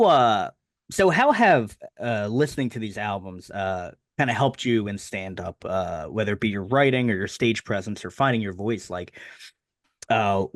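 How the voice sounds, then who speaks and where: A man's voice: on the low side, animated, measured pace, dry recording, studio quality. A young man hosting a podcast, asking questions in a hesitant way with many fillers and frequent laughs. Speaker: podcast host. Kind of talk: casual interview.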